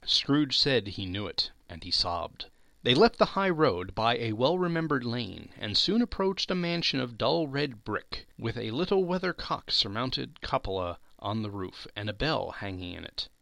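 A man's voice: mid-range voice, very animated, normal speed, creaky, a bit bright, dry recording. A man reading a classic story aloud as dramatic narration, theatrical and emphatic.

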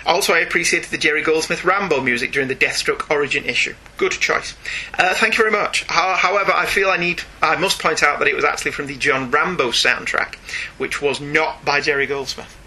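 Bright, higher-pitched man chatting on a podcast. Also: a little fast, husky. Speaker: podcast co-host. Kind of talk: casual chat and reading out listener emails. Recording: faint background noise.

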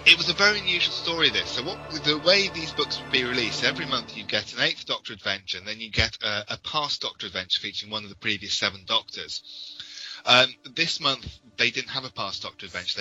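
A man co-hosting a podcast, giving detailed but casual explanations and opinions in a slightly halting way. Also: medium pitch, bright, forceful tone, husky, faint music behind, poor audio. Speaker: podcast co-host.

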